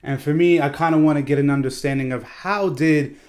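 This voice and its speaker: A man's voice: a little high, fairly even intonation, fairly quick, darkish tone. A man hosting an online interview, asking long, effusive, winding questions over a video call. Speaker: interview host.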